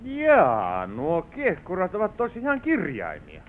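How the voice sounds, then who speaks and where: An actor playing a genial, curious gentleman manor owner, polite and well-spoken, in a theatrical vintage radio drama style. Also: sits high, wildly swooping pitch. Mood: pondering. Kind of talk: vintage Finnish radio play acting. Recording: thin, phone-like old radio recording.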